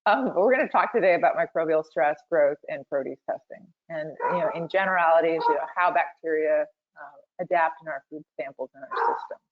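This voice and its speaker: A hoarse woman who is losing her voice gives a loose, chatty technical webinar talk full of ums and you-knows. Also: low voice, fairly quick, a little hiss or hum. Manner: loose and chatty, with many fillers. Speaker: webinar presenter. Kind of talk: conversational technical presentation. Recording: tinny phone-like webinar audio.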